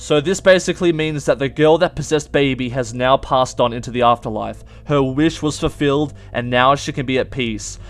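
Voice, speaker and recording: Young man, casual explainer, mid-pitched. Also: moderate pace, few pauses, faint music behind. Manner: emphatic, addresses viewers directly. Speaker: YouTube game theory host.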